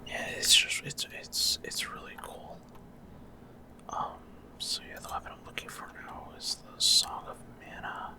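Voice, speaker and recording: Male ASMR gamer, fully whispered and breathy, hesitant, off-the-cuff commentary. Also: measured pace. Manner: casual, rambling, hesitant. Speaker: gaming ASMR creator.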